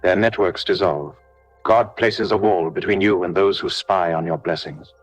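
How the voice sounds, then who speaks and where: A man with a very low voice delivers a scripted prayer over music. He speaks in short, solemn declarations, each phrase measured and set apart, as a prayer leader addressing one listener. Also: no room sound. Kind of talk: scripted devotional warfare prayer.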